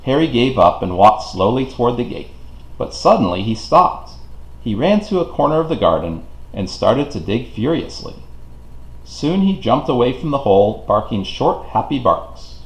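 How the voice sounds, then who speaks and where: A man reading a children's storybook aloud in an expressive, deliberate way for young listeners. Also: mid-range voice. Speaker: children's book reader. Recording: roomy, echoing home recording.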